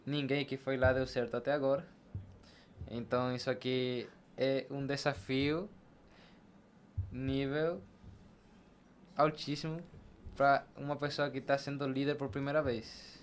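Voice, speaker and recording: A man's voice: medium pitch, flat delivery, unhurried, long pauses, slight background noise. A man speaking as an interview guest, telling his experiences in a conversational podcast style. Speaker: podcast guest, barber and shop team leader.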